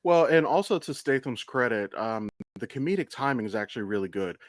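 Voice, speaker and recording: A man with a medium-pitched voice talks as a podcast co-host. His delivery is casual and blunt, full of enthusiasm and swearing. The sound is close and dry. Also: animated, a little fast, no room sound. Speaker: podcast co-host and amateur voice actor.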